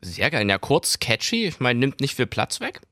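A woman's voice: extremely low pitch, big pitch swings, normal speed, fairly bright tone, dry recording, clean studio sound. A woman radio host with a light Saxon accent, interviewing a guest in an upbeat, playful, chatty way.

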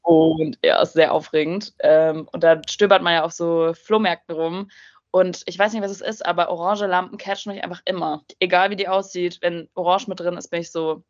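Bubbly young woman, low voice, muffled sound. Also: steady tone, normal speed. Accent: standard German. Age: young adult. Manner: bubbly, slangy, self-mocking. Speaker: musician guest. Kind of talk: casual interview chat.

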